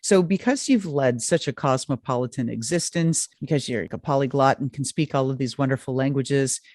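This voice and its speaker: A woman's voice: rumbling low, normal speed, darkish tone, dry recording, studio quality. A woman hosting a podcast who asks long, enthusiastic questions. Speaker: podcast host. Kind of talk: interview questions.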